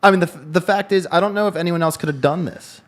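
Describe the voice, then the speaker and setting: A man co-hosting a casual news discussion show, talking in quick rambling bursts with frequent restarts. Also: high-pitched. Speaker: co-host.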